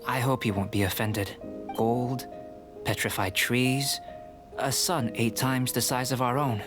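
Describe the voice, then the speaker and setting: Calm, cerebral young alchemist played by a man. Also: mid-range voice, over music, natural voice. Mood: pensive, fascinated. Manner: measured, articulate, detached, politely scholarly. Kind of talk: video game voice acting.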